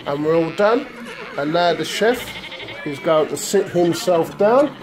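A man giving a casual, jokey running commentary on his home cooking like a showman chef. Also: on the high side. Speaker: home cook presenting his food. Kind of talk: casual cooking video narration. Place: home kitchen. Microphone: handheld phone behind the camera.

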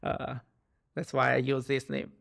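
A man in his thirties whose first language is Chinese answers questions over a video call. He talks haltingly, with many fillers and restarts. His voice sits at an ordinary pitch for a man. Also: monotone, creaky, fairly bright tone. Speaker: guest researcher. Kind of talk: interview answers. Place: home office.

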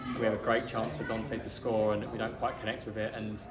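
A man, a football manager giving a measured, matter-of-fact post-match interview. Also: on the low side, level tone, over loud music. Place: outdoors in a small football ground stand. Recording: echoey stand with crowd noise.

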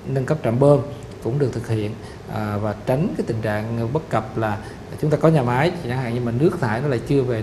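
A middle-aged man explaining a city project in a formal interview, measured and full of "ờ" pauses. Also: medium pitch, background noise. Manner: measured, explanatory, frequent "ờ" fillers. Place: studio office set with photo wall. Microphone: lapel mic.

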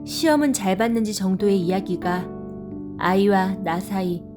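A woman narrating a written story in a calm, reflective way. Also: mid-range voice, normal speed. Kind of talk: scripted narration. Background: faint music.

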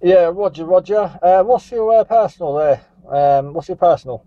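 A man's voice: sits high, normal speed, slight background noise. A man chatting and calling for contacts as a CB radio operator. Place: static vehicle on a seafront headland. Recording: dull lo-fi CB radio recording.

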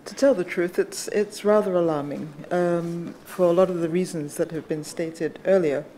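Woman, soft voice, deep, halting with fillers, conference table mic. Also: a little hiss or hum, poor audio. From Ghana. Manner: measured, hesitant with frequent fillers. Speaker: conference panelist. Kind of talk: panel discussion remarks. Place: large conference hall. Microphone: table microphone.

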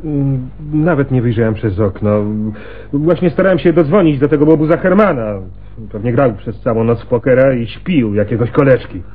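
A man's voice: medium pitch, moderate pace, natural voice. An actor playing a chatty, gossipy male pawnbroker in a radio play, garrulous and fond of telling stories. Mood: helpful, grumbling. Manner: garrulous, chuckling storyteller. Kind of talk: Polish radio play acting. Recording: muffled, phone-like noisy radio recording.

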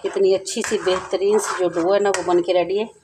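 Woman, home cooking host, chatty and repetitive, mid-pitched voice, faint background hiss. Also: little pitch movement, a little fast. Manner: chatty, repeats herself, addresses viewers directly. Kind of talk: home cooking tutorial, casual explaining. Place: home kitchen, over a stovetop.

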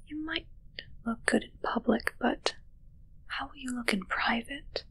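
A young woman playing a haughty, possessive witch in a whispered ASMR roleplay, hushed, teasing and unhurried, close to the microphone. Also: a little high, breathy edge, exaggerated voice, rough recording. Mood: possessive, coy. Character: possessive, haughty female witch toying with her familiar. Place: small dark draped room indoors.

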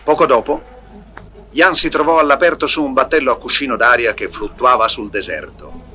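A man's voice: medium pitch, moderate pace, sharp tone. A man reading scripted narration for an audio storybook. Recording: 1980s storybook record with music. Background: music.

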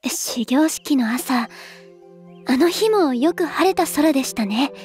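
A woman playing a modest, soft-spoken teenage girl idol who speaks very formally in an anime drama. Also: sits high, expressive, slow, soft background music. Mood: gently reminiscent. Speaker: voice actress in character. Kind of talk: anime audio drama dialogue.

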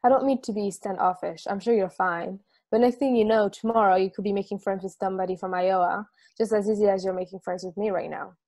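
A woman's voice: medium pitch, steady tone, fast talker, a little mellow, lightly acted. Young woman as easygoing but guarded traveler lady, plain casual talk, stage play read on a video call. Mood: wary, relaxed. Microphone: video call microphone.

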